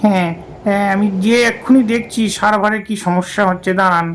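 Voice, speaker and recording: Lady doing fussy male scribe of the underworld, cartoon, eager to please, medium pitch, faint hiss. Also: fairly even intonation, fast, natural voice. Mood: deferential. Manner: deferential, fussy. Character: fussy, obliging male scribe of the death god. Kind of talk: comic cartoon dialogue.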